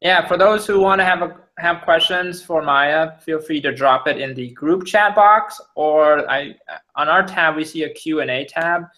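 Bright-voiced host, halting and rambling. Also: male voice, fairly high pitch. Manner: halting, many restarts and fillers. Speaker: interview host. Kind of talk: live online interview.

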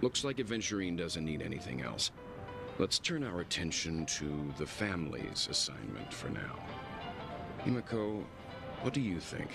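A man playing a composed middle-aged gentleman mentor in a video game, with a gravelly voice and measured, formal delivery. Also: deep voice, sing-song, over music, exaggerated voice. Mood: calm, deliberate.

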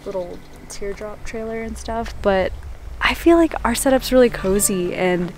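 A woman chatting casually about her camping setup at a campsite, relaxed and upbeat with a few fillers. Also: mid-range voice, normal speed, slight background noise. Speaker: camping companion. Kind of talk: casual chat. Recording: background music.

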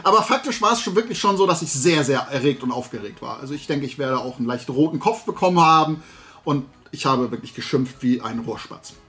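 A man in his forties telling an anecdote casually to camera, animated and with sarcastic asides. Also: mid-range voice, brisk, soft background music. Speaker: host of a talk and toy channel. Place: small room at home with shelves of collectibles.

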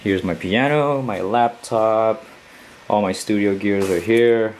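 A man's voice: on the low side, slow pace, a little hiss or hum. A young man in his twenties from Canada, chatting casually and slangily to his viewers in a small room at home. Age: 20s. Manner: laid-back, slangy, talks straight to viewers. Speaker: vlogger. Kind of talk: casual vlog chat to camera.

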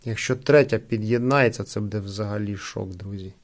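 A man with a creaky voice giving casual unboxing and product testing commentary as a host. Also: fairly low pitch, normal speed. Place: indoors at a wooden table.

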